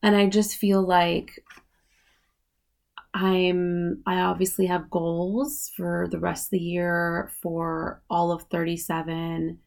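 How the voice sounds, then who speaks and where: A woman's voice: fairly low pitch, vocal fry, darkish tone. A woman in her late thirties hosting a podcast, talking casually on her own and filling her speech with "like" and "you know what I mean". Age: 30s. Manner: chatty, many fillers like "like" and "you know". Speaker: podcast host. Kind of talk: casual solo podcast talk.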